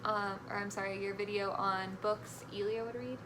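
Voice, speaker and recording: A woman's voice: medium pitch, steady tone, moderate pace, a bit bright, slight background noise. A woman speaking as a guest on a book vlog, chatting in an informal, tentative way. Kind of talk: casual book chat.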